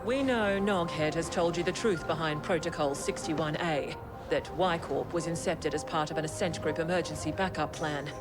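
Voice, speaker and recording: An actor playing a cold corporate official in a video game, speaking in a formal, measured, condescending and menacing way. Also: medium pitch, over music, lightly acted. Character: cold corporate official addressing a hired operative. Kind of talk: scripted video game dialogue.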